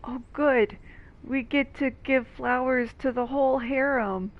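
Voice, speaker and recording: Wry woman gamer, fairly high voice. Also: steady tone, measured pace, phone-quality sound. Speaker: game streamer. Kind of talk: casual playthrough commentary. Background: game music.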